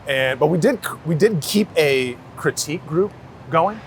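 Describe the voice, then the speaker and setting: A man's voice: on the high side, lively intonation, a little slow. A man talking casually and informally as a street photographer being interviewed, with slangy, sweary phrasing. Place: outdoors on a city street. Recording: outdoor city street noise, traffic.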